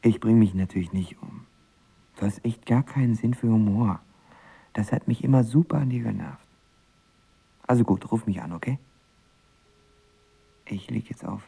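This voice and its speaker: Husky, lovesick young man. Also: lowish voice, moderate pace, pauses often, mellow. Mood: drunken, pleading. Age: young adult. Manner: hesitant, rambling, self-deprecating. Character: lovesick, self-mocking young man of twenty-one, often drunk. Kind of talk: naturalistic radio play acting with first-person narration.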